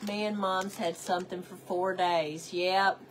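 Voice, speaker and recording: Lady with a stuffy cold nose, slow easy craft chat, bit of faint room hiss. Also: fairly low pitch, steady tone. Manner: chatty, talks to herself while working, greets viewers by name. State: congested from a cold. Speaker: craft livestream host. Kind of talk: casual craft livestream chat.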